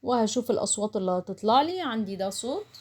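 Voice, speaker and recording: Egyptian lady w a highish voice walking thru clicks on screen, casual, drops english words in. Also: sing-song, very fast, darkish tone, husky. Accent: Egyptian Arabic. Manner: explains while doing, with English terms mixed in. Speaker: online tutorial instructor. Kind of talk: casual step-by-step screen tutorial.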